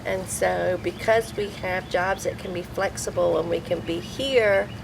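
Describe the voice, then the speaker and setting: An older woman, a vegetable farmer and grandmother, speaking softly and reflectively in a documentary interview. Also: fairly low pitch, steady tone, unhurried, vocal fry, background noise. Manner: soft-spoken, reflective, with frequent fillers. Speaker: vegetable farmer, former hospice chaplain.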